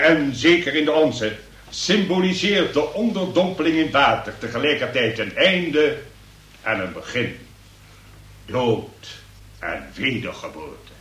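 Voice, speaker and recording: A man's voice: mid-range voice, fairly bright tone. A man acting a pompous, long-winded examining magistrate in a comic radio play, speaking in ornate legal phrases. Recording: noisy, echoey room, distant from mic.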